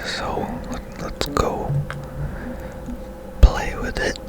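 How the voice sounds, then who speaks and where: A game host giving ASMR gameplay commentary in a soft, breathy whisper, gentle and unhurried with pauses.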